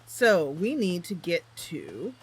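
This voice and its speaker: A woman's voice: fairly low pitch, huge pitch swings, slow, husky, poor audio. A woman hosting a gaming channel, casually commentating on her roleplay, thinking aloud and explaining as she goes.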